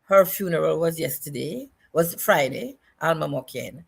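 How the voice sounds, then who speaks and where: An older woman with a Jamaican accent, speaking as an interview guest in a reflective, deliberate way and repeating phrases for emphasis. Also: sits low, animated, vocal fry, breathy edge. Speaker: guest, choreographer and dance educator.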